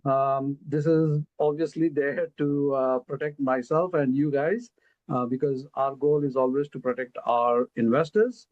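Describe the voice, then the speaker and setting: Slow-talking man with an Indian accent. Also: mid-range voice, steady tone, no room sound, phone-quality sound. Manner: slow and deliberate, many fillers. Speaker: presenter of an investment pitch. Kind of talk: casual webinar presentation. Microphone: video call.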